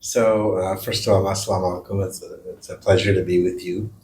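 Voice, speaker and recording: A man's voice: deep voice, little pitch movement, fairly quick, darkish tone, slight background noise, low-quality recording. A man born in India and raised in the United States, a surgeon guest, telling stories in an easygoing way with some fillers. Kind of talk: casual interview chat.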